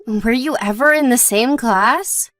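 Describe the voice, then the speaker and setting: A woman playing a supportive, modest young wife in scripted story dialogue, speaking in a measured, reassuring way. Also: fairly high pitch, fairly bright tone, no echo. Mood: chatty, even-tempered.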